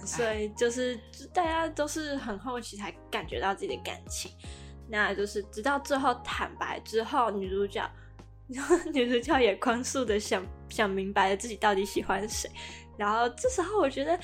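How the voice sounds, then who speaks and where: A woman's voice: on the high side, expressive, normal speed, faint music behind. A woman co-hosting a podcast, telling things in a chatty, informal way. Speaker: podcast co-host. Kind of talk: casual podcast chat.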